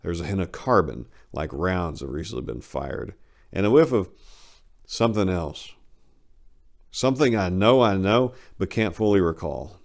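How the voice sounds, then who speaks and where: A very low, gravel-edged voice from a male narrator acting a big middle-aged Vietnam veteran. He confides in a plain-spoken, sardonic way, haunted underneath. The telling is a first-person dramatic short story. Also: lively intonation, moderate pace.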